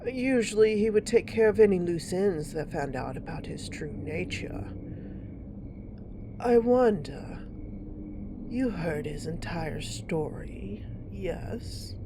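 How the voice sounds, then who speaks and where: Clear vehicle noise surrounds a woman acting as a dark immortal villainess in an audio drama. She speaks very slowly at a medium pitch, coolly curious and probing. Every phrase is deliberate, with veiled menace. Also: lightly acted. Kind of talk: slow audio roleplay acting. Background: vehicle ambience.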